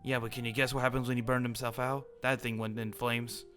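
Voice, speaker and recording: A man's voice: mid-range voice, flat delivery, fairly quick, a bit bright, soft background music. A man improvising a school headmaster in a game roleplay, explaining things at length in a chatty way.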